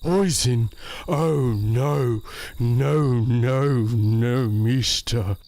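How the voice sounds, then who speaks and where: A man playing a huge, slow-witted, polite and childlike helper in an audio drama, speaking in halting broken sentences. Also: mid-range voice, darkish tone. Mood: earnest. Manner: halting, simple broken speech.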